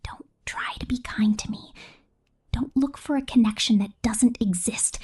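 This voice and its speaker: A woman voicing a sadistic, possessive killer robot in an ASMR roleplay, breathy and often whispering, with a menacing, taunting, drawn-out delivery. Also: on the high side, a little mellow. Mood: quietly menacing. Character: sadistic, possessive female killer robot drone toying with prey.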